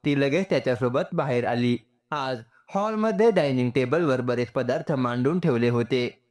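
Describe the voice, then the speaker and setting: A man's voice: mid-range voice, normal speed, darkish tone. A man reading a scripted, sentimental story as its narrator.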